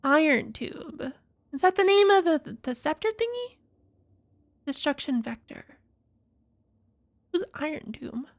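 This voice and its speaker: Casting: female game streamer, extremely high voice, conversational, theorizing and reading aloud as she reacts. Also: sing-song, a little slow, pauses often. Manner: thinking out loud, conversational.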